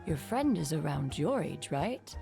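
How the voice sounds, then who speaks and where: Creaky-voiced, kindly older collector. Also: female voice, sits low, sing-song, a little slow, full character voice. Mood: warm, nostalgic. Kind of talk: scripted game voice acting. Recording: music playing behind. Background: clear background music.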